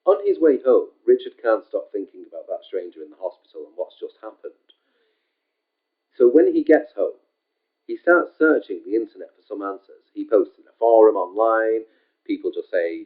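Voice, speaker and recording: A man's voice: on the low side, animated, normal speed. A man reading his own short story aloud in a casual, homespun way, leaning often on the word "just". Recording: tinny phone-like sound.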